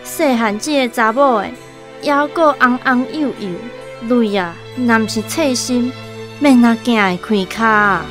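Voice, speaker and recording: Woman as sorrowful Taiwanese grandmother, fretting, fairly high pitch, faint music, radio drama. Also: measured pace, close to an everyday voice. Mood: mournful.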